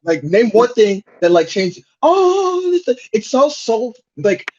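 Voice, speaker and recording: A man's voice: very high voice, big pitch swings, takes it slowly. A young man chatting casually in an emphatic, slangy, profane way, repeating himself, on a muffled microphone. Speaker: podcast panelist. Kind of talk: casual chat. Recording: muffled lo-fi mic, faint noise.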